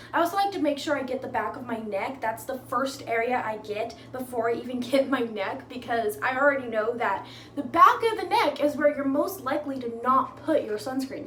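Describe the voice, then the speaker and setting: A woman's voice: sits high, fairly bright tone, a little hiss or hum. A woman giving a casual makeup tutorial at home, chatty and upbeat, dropping lots of fillers as she explains each step. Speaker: makeup vlogger. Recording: echoey room.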